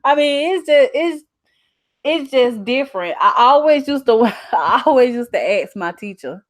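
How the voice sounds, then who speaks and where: A woman's voice: high-pitched, lively intonation, unhurried, no echo, clean studio sound. A young adult woman with a Southern US accent, talking casually as a channel host in a folksy, emphatic way on a livestream. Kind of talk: casual livestream chat reading out viewer comments.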